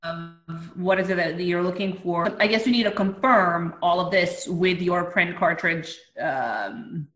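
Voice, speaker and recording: A woman's voice: lowish voice, a little slow, hardly any pauses, a bit bright. A woman on a consultant panel giving informal technical commentary. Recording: echoey room sound.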